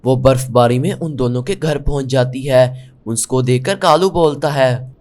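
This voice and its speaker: A man's voice: medium pitch, unhurried, darkish tone. A man narrating a scripted children's animal story.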